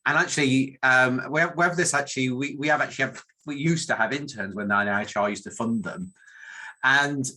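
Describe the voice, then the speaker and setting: A man's voice: medium pitch, fairly quick, pushed and bright, low-quality recording. A middle-aged man with a northern English accent gives an informal career talk in a meandering, self-deprecating way, often restarting his sentences. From northern England. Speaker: academic statistician giving a career talk. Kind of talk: informal presentation.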